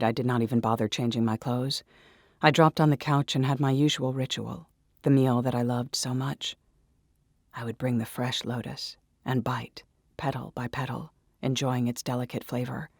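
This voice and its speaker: A man delivering dramatic first-person scripted story narration in an intense, deliberate manner. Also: medium pitch, gravelly, no room sound, clean studio sound. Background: music.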